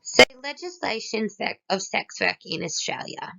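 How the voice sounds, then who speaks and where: A woman, hoarse from a cold, whose voice cuts in and out as she reads a student presentation, working steadily through lists of points. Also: mid-range voice, moderate pace. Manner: steady, listing one item after another. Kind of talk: read-aloud presentation. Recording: dull lo-fi recording.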